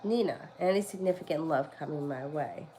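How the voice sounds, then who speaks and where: A woman in her thirties with a slightly low voice, stuffy and congested from a cold. She reads tarot cards in a loose, rambling, chatty way, full of fillers and false starts. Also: normal speed, mellow. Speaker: tarot reader. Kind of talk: casual live-stream tarot reading. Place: home.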